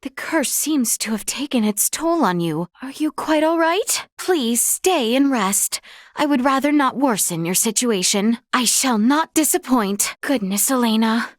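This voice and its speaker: A woman's voice: fairly high pitch, lively intonation, unhurried, dry recording, clean studio sound. A woman voicing an earnest, courteous young noblewoman warrior in a video game, with formal, old-fashioned, genteel phrasing. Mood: relieved, caring. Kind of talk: scripted video game character voice lines.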